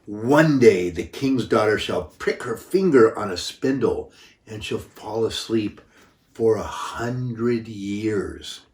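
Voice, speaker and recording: A wicked old fairy from a children's storybook, acted out by an older man. She pronounces her curses slowly and with menace. The sound is echoey and a bit distant from the mic. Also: on the low side, expressive. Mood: furious, cursing. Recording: roomy, echoing, distant microphone.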